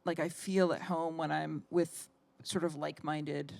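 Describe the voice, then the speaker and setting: A woman's voice: low-pitched, fairly even intonation, measured pace, gravelly, darkish tone, no echo. A woman pianist and composer chatting as a guest in an interview, laughing often and trailing off with fillers. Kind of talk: casual interview conversation.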